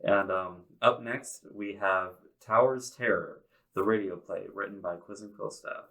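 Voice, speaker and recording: A man's voice: fairly low pitch, measured pace, bright, forceful tone, rough recording. A man casually announcing the next segment as an event host.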